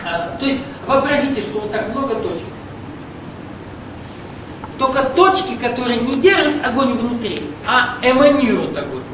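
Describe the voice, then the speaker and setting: A man leads a spiritual seminar, explaining things patiently and often checking that the room is following. His voice is picked up from a distance in a very echoey room. A steady rumble runs underneath. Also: normal speed. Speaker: seminar leader. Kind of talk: esoteric spiritual lecture. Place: lecture room with an audience.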